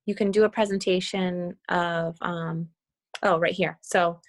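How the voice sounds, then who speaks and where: A woman with a slightly low, creaky voice walks her team through an on-screen task. She speaks casually, thinking out loud as she goes. The sound is dry and close, with little room around it. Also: steady tone, unhurried, no room sound. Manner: casual, thinking aloud while demonstrating. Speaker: team leader giving a tutorial. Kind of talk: informal screen-share walkthrough on a group call. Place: video call with screen share.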